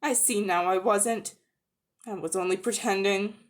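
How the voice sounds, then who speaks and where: A woman's voice: medium pitch, unhurried, natural voice. A woman voicing an earnest, guilty young boy who speaks hesitantly, politely and pleadingly. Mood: guilty, wretched.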